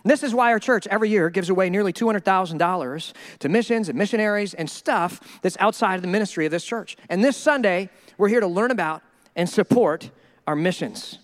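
A male pastor preaching a sermon in an animated, joking way, acting out the people he quotes. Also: high-pitched, fairly quick, in a large hall. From Minnesota.

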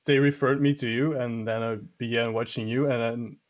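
A man from Belgium calls into a livestream, speaking as an at a medium pitch. His phrasing halts a little as he chats, and the call audio sounds dull and lo-fi. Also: fairly even intonation, fairly quick. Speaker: guest caller on a livestream. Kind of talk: casual chat. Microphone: online call.

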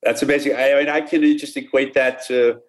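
Male podcast host, mid-pitched, unhurried and full of "uh"s while he interviews. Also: steady tone. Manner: halting, many "uh" fillers and restarts.

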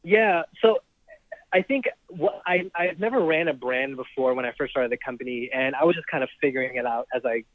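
A man in his thirties from Trinidad and Tobago, a startup founder telling his story in a relaxed interview, with lots of ums and restarts. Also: medium pitch, fairly quick, no echo. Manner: relaxed, laughs often, many ums and restarts. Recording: dry, tinny phone-like remote line.

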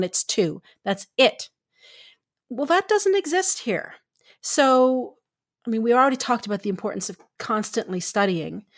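A woman from Canada co-hosting a podcast, talking in a casual, chatty way and laughing easily. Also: a little high. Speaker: podcast co-host, story editor.

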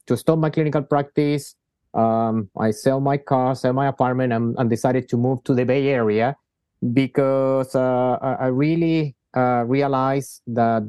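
Man, smooth dark voice, many restarts. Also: mid-range voice, dry recording. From Chile. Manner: frequent restarts and repeated words. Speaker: guest surgeon and company founder. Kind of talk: interview answers.

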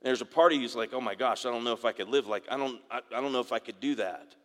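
A man preaches to his congregation, his voice a little raspy from the dry air. He talks in a halting way, doubling up words and asking for an amen. His voice carries a long reverb, as if recorded in a large church hall. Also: medium pitch. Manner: halting, repeats words, asks congregation for amens. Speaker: pastor. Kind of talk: sermon. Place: church sanctuary.

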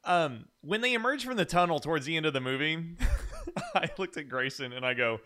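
A man's voice: fairly high pitch, big pitch swings, a little fast, bright, forceful tone. A man hosting a podcast, chatting casually about films. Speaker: podcast host. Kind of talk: casual film chat.

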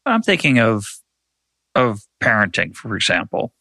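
A man's voice: fairly low pitch, wildly swooping pitch, a little slow, creaky, bright, forceful tone, no room sound. An older man hosting a talk show, speaking thoughtfully in a reflective conversation and often restarting his sentences. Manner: thoughtful, hesitant with repeated restarts. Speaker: podcast host.

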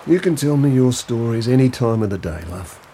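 An actor playing an affectionate, cheeky older grandfather in an audio drama, with an unhurried, playful manner. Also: mid-range voice, expressive, dark tone, a little hiss or hum, close to an everyday voice. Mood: fond, wry. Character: easygoing affectionate old grandfather, fond and cheeky.

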